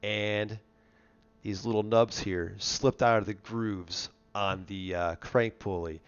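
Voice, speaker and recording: A man's voice: on the low side, fairly even intonation, takes it slowly, clean tone. A congested, nasal man with a worn voice, chatting and telling stories casually as the host of a live car show. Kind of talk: casual chat and storytelling. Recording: dull, lo-fi stream audio.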